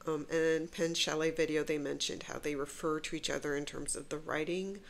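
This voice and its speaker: A woman hosting her own channel, congested from a cold, chatting casually and unhurriedly with soft asides while she unboxes a pen at her desk at home. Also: low voice, steady tone, fairly quick, vocal fry. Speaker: YouTube channel host.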